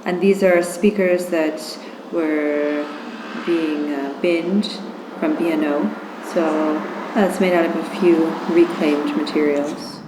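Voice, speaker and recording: A woman's voice: low-pitched, fairly even intonation, slow. A woman giving an informal, conversational explanation of her work. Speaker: artist explaining handmade amplifier. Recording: echoey room with clear background noise.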